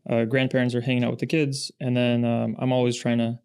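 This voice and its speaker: A man in his thirties, born in Russia and raised in the Pacific Northwest, talks as a podcast guest. His voice is smooth and resonant, with a fairly even pitch, and he tells his stories in a steady, measured way. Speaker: podcast guest, industry founder. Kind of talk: casual interview chat.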